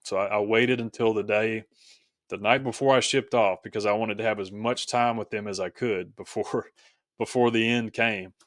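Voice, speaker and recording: A male podcast guest with a fairly low voice shares a personal story in a casual register. The sound is close and dry, and his delivery is reflective, with some hesitation as he finds his words. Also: fairly even intonation, normal speed, fairly bright tone, no room sound.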